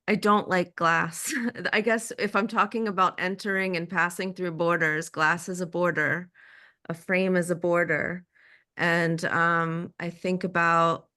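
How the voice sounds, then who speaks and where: Hesitant woman artist, slightly low voice. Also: a bit bright. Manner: hesitant, lots of fillers, self-correcting. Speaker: exhibiting artist. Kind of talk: casual gallery talk. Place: art gallery.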